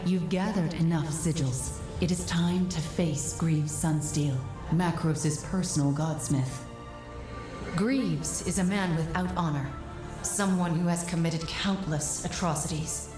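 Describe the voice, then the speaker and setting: A calm mystic adviser in a fantasy game. Her voice is on the low side and measured, with formal gravity. It echoes noticeably over clear music. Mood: grave, commanding. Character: calm, self-sacrificing female mystic guide to the hero.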